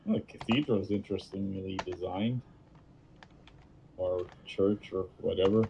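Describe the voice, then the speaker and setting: A man's voice: low voice, unhurried, mellow, highs cut off, slight background noise. A man chatting casually as co-host of a live game stream. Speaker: livestream co-host. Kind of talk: casual chat over a game.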